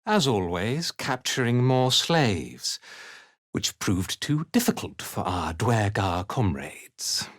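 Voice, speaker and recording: An actor playing a haughty, ruthless cult commander in a video game, speaking in an imperious, deliberate, disdainful manner. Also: male voice, on the low side, big pitch swings, fairly bright tone, no echo, studio quality, full character voice. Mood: haughty, measured. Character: haughty, ruthless male cult commander, contemptuous slave driver. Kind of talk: video game character acting.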